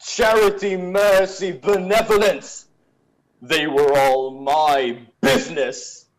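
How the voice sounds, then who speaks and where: A man's voice: a little high, flat delivery, takes it slowly, poor audio. A man playing a stern, mournful ghost in a radio play, speaking in a solemn, portentous way. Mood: anguished. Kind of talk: old-time radio play acting.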